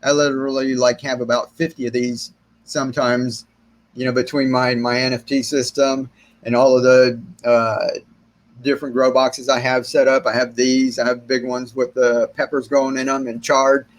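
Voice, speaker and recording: A middle-aged man hosting a gardening livestream Q&A, slightly raspy from long talking, rambling and relaxed as he answers viewer comments. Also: medium pitch, moderate pace. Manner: rambling, relaxed, many restarts and fillers, gestures with hands. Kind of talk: casual live-stream chat, reading and answering viewer comments. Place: small indoor room with shelves of plants.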